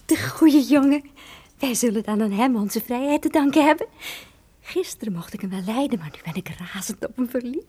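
An actress playing a warm-hearted, smitten lady's companion in a radio drama, effusive and sentimental. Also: fairly high pitch, lively intonation, normal speed, slightly rough. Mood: smitten, relieved. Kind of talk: theatrical Dutch radio drama acting.

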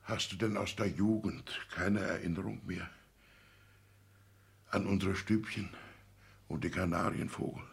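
A man's voice: sits low, steady tone, a little slow, creaky, pauses often, roomy sound. An actor playing an indignant, anxious old petty-bourgeois father, a minor official, theatrically agitated in the style of 1960s German radio drama. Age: older. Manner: agitated, theatrical, swinging between outbursts and hushed lament.

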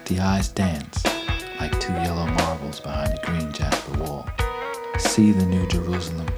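A low-voiced, gravelly narrator reciting literary prose in an art radio piece, with music behind. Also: fairly even intonation, measured pace. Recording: plucked guitar music behind the voice. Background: plucked string instrument, guitar.

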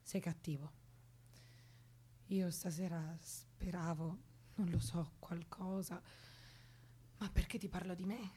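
A woman's voice: lowish voice, fairly even intonation, slow, pauses often, mellow, breathy edge. An actress in an Italian radio drama playing a troubled young woman, bitter and fragile, with an intense, brooding way of speaking. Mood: wistful, wounded. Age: young adult.

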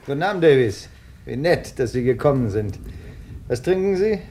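An actor playing an inquisitive, persistent crime writer in a radio drama, brisk and questioning. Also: male voice, mid-range voice, sing-song, dark tone, a little hiss or hum, lightly acted. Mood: brisk, polite. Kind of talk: German radio drama acting, conversational.

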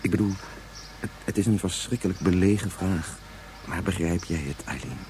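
An actor playing an anguished, regretful scientist of great age in a Dutch science fiction radio play, speaking haltingly and turning back on his own words. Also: low-pitched, warm tone. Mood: brooding, regretful. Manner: halting, repetitive, ruminative. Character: anguished 177-year-old scientist, brooding, regretful father.

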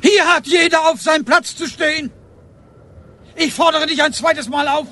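A man's voice: very high-pitched, steady tone, normal speed, sharp tone. An actor playing a rigid, duty-bound village policeman in a radio drama, stern and commanding.

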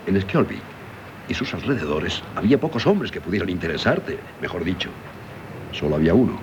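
An actor with a Castilian Spanish accent playing an earnest, anxious, protective husband and doctor in dramatic, questioning radio theatre style. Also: male voice, low-pitched, expressive, brisk. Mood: restrained, probing. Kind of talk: 1970s radio theatre acting. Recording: dull, noisy 1970s radio recording.

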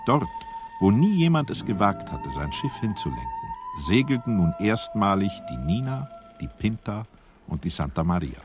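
A man's voice: on the low side, sing-song, moderate pace, music in the background. A man narrating a satirical radio play in a solemn, mock-heroic storytelling manner.

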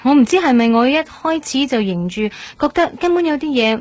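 Young female patient, Hong Kong accent, gentle, searching for words, slightly high voice, radio drama, dry studio. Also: expressive, fairly quick, dry recording. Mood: hesitant, puzzled. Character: shy young woman recovering from heart transplant, hesitant, gentle. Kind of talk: Cantonese radio drama acting, naturalistic dialogue.

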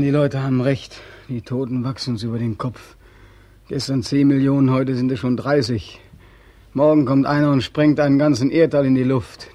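An actor playing a bitter, haggard young war veteran in a sardonic, impassioned way, in 1940s German radio drama style. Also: male voice, a little high, a little mellow, lightly acted. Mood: feverish, drunken cheer. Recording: vintage 1940s radio recording.

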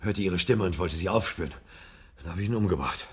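An actor playing a desperate, guilt-ridden man on the run in a radio drama, speaking in an agitated, halting mutter. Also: deep voice, slightly rough. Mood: subdued, confessing. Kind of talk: 1970 German radio drama acting. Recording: noisy radio drama, vehicle sound behind.

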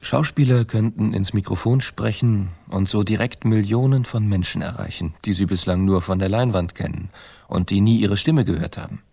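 A man voicing a quoted 1920s film studio boss for a radio documentary, in a gravelly, husky voice. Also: on the low side, normal speed, mellow, dry recording. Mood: matter-of-fact, persuasive.

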